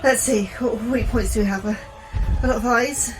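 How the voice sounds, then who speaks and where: Woman acting plucky anxious scientist, higher female voice, earnest, wisecracking, audio drama, music behind. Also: steady tone, moderate pace, close to an everyday voice, poor audio. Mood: nervous bravado.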